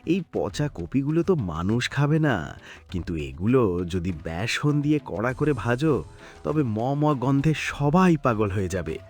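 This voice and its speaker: A man voicing a cunning, teasing animal trickster in a comic cartoon, with a coaxing, drawn-out manner. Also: mid-range voice, big pitch swings, darkish tone, husky.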